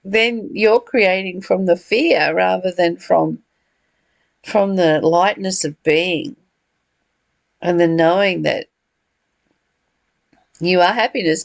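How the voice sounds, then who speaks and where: A woman's voice: on the low side, gravelly, no room sound. A woman leading a reflective spiritual discussion, earnest and given to rhetorical questions and repeated phrases. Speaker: study group leader.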